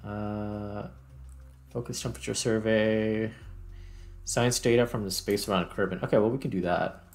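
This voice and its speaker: Low-voiced man casually narrating his gameplay. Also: steady tone, moderate pace. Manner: thinking aloud, self-correcting. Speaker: gaming video host.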